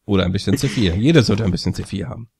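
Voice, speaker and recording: Low voice guy joking deadpan on a gaming call, bit of noise. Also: racing, creaky. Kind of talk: casual gaming chat.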